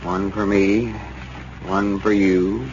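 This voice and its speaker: An actor playing a stubborn old storekeeper with an in a folksy old radio comedy, deliberate and grumbling. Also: male voice, low-pitched, flat delivery. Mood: deliberate, counting. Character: stubborn old country storekeeper man, exasperated but patient. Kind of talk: 1930s-40s radio comedy acting. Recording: muffled, noisy old radio recording.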